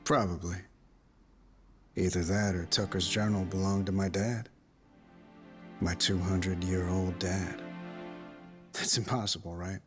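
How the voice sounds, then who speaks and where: An actor playing a subdued, reflective grown son in a video game cutscene, speaking in a quiet, plainspoken way. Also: male voice, deep voice, steady tone, measured pace, pauses often, over music. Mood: wistful, subdued.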